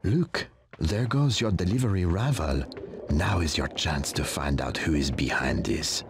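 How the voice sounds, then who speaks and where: An actor playing a mysterious, urging guide in a cartoon video game. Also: male voice, deep voice, unhurried, a little hiss or hum.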